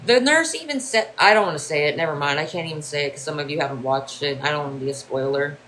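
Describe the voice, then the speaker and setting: Bright-voiced rambling livestreamer from Ohio. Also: mid-range voice, fast talker, a little hiss or hum, rough recording. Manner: rambling, repetitive, swears freely. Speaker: livestreamer answering chat. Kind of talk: casual livestream chat.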